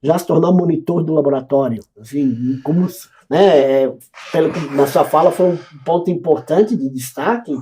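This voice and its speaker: Husky-voiced Brazilian podcast host. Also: male voice, fairly high pitch, normal speed, warm tone. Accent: Brazilian Portuguese. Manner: polite, frequent affirming murmurs. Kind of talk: interview hosting.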